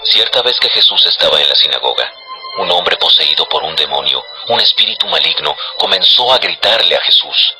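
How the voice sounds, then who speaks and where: Low deep guy narrating an audio bible, scripted storytelling, music clearly playing behind. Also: lively intonation, moderate pace, bright, forceful tone, low-quality recording.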